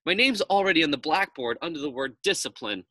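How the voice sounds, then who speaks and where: An earnest storybook schoolboy, played by a man, protesting and justifying himself. The voice is bright and swings widely in pitch as he wavers reluctantly. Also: expressive, a little fast, no echo. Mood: refusing. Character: schoolboy, earnest, reluctant, easily tempted troublemaker. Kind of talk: storybook character voice.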